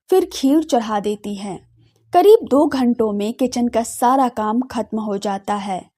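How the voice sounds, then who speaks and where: A woman narrator reading a scripted audio drama. Her voice is fairly high with a mellow, soft tone. She tells the story expressively.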